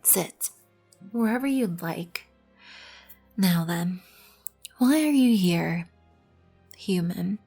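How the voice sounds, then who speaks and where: Woman playing a slow, husky, regal vampire queen. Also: on the low side, a little mellow. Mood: curious, probing. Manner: imperious, measured, formal. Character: regal, composed, proud vampire queen, protective of her colony. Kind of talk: ASMR roleplay, scripted second-person acting. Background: background music.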